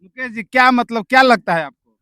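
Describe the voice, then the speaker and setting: A man's voice: extremely high pitch, fairly quick, bright, forceful tone. A man with a Bihari accent doing on-location interviews and commentary to camera, repeating himself and searching for words with fillers. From India. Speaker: field news reporter.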